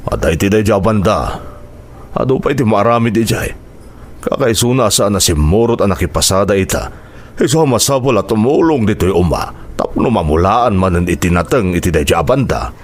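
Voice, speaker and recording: A man plays a hardworking rural father in a radio drama. His low voice swings expressively, firm in giving chores yet affectionate with his children. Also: lively intonation, normal speed. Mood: firm, fatherly. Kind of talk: Ilocano radio drama acting.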